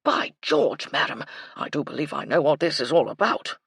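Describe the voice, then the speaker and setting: Woman acting out old British colonel, audiobook character, blustering, excitable, clipped military manner, husky, animated. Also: fairly high pitch, moderate pace, no echo, clean studio sound, exaggerated voice. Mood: excited, conspiratorial. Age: older. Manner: clipped, blustery upper-class military speech, stammers when startled.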